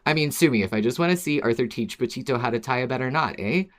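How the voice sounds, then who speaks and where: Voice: medium pitch, expressive, fast talker. A podcast co-host speaking in a conversational, agreeable way, as in casual chat or reading out listener mail.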